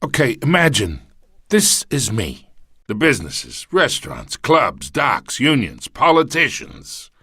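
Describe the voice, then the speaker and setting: Smug, menacing crime boss. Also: male voice, mid-range voice, huge pitch swings, measured pace, bright, forceful tone, slightly rough, no room sound, studio quality, exaggerated voice. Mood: persuasive, threatening. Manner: streetwise, slangy, taunting. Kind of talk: video game voice acting.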